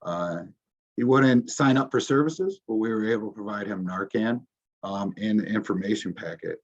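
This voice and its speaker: A man's voice: on the low side, moderate pace. A man, a police officer presenting slides to a community audience, speaking informally with many ums and restarts. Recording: dull, muffled recording.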